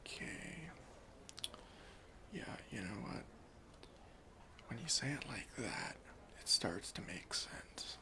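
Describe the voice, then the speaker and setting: A man whispering an ASMR roleplay as a deadpan airport security officer, breathy and deliberate, with pauses. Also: medium pitch. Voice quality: breathy whisper with some fry. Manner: slow, hushed, deliberate, with pauses.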